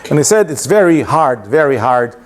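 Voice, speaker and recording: A man's voice: mid-range voice, normal speed. A man giving an informal lecture, telling a story with many hesitations and restarts, English not his first language. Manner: animated storytelling with laughs. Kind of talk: informal lunchtime talk.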